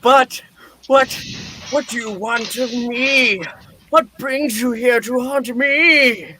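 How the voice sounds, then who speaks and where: A performer reading the part of a frightened, wistful old miser in a theatrical play reading, with halting, dramatic delivery. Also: mid-range voice, fairly even intonation, sharp tone, a little hiss or hum, low-quality recording. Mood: frightened pleading.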